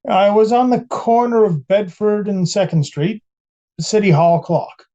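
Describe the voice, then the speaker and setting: A man acting as an 1890s police captain testifying in a courtroom drama. His voice is soft-edged and mellow, pitched a little high. He answers plainly and deferentially, and the sound is slightly muffled. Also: unhurried. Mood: matter-of-fact. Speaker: voice actor reading a trial transcript.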